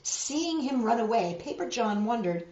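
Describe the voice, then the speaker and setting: A woman reading a picture book aloud to children, expressive and dramatic, pausing for asides to show the pictures. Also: fairly high pitch. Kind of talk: animated children's story reading with asides to viewers. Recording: roomy, dull lo-fi web video.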